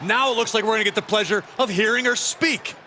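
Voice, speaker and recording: A man playing an excitable TV wrestling announcer in a video game, calling the action as sports commentary. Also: high voice, quick pace. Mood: excited. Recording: loud arena crowd and noise behind. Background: roaring arena crowd.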